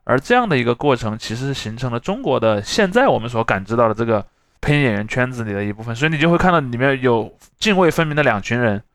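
A man speaks quickly in a dry recording. He hosts conversationally, unfolding explanations in long discursive runs and checking in for agreement as he goes. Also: medium pitch, lively intonation, a bit bright, dry recording. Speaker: podcast host.

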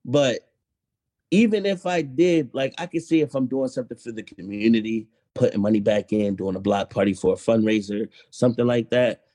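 A young man joining a podcast as a musician guest, talking in a relaxed, matter-of-fact way. His voice sits at a middle pitch with a mellow, warm tone. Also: normal speed. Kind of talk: casual interview chat.

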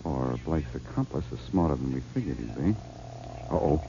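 A man's voice: rumbling low, lively intonation, moderate pace, creaky, heavily acted. An actor playing a cool, confident male detective in 1940s radio drama. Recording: dull lo-fi old radio recording.

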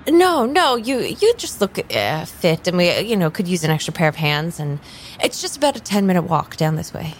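A woman playing an easygoing, low-pitched tarot reader. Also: big pitch swings, moderate pace, a little hiss or hum. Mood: reassuring, hesitant. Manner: hesitant, restarting, agreeable. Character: easygoing, slightly flustered female tarot reader. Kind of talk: improvised audio drama dialogue.

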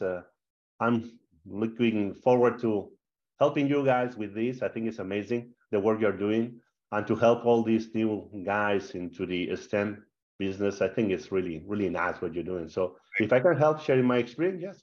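A middle-aged man from Spain with a Spanish accent, a guest telling his career story in a casual interview, animated, with many fillers and repeated words. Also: lowish voice, moderate pace, muffled recording.

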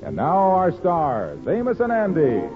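A man's voice: a little high, huge pitch swings, a little slow, loud music behind the voice. A male announcer reading 1940s radio ads and scripted introductions in a polished, emphatic salesman's delivery. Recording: muffled scratchy old-time radio recording.

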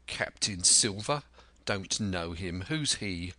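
A man voicing a stern, curt ship's captain who speaks in deliberate, scornful commands in an adventure storybook. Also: deep voice, bright, forceful tone, no echo, full character voice. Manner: clipped orders, deliberate, scornful.

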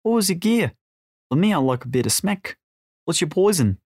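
A man's voice: sits high, very animated, normal speed, a little mellow, no room sound, clean studio sound, voice pushed up, exaggerated voice. Man doing a brash Australian smuggler. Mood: needling.

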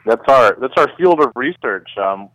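A man's voice: medium pitch, animated, normal speed, fairly bright tone, no room sound, rough recording. A man speaking as an expert guest in a casual radio interview, explaining things in plain words. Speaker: guest, neuroscience researcher and company co-founder.

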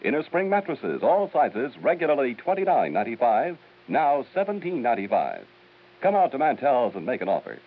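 A man who works as a local station announcer, reading a 1950s radio commercial as an emphatic sales pitch. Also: huge pitch swings, fairly quick. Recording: tinny, hissy 1950s radio recording.

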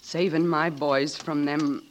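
An actress in a 1940s radio drama playing a humble middle-aged country mother with halting, plain rustic speech. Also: deep voice, fairly even intonation. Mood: grateful, shy. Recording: noisy old radio recording with clicks.

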